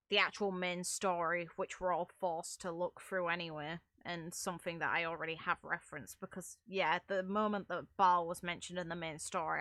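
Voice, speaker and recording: Bright, forceful woman game streamer. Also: fairly low pitch, no echo. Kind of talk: casual livestream chat and lore reading. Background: faint game music.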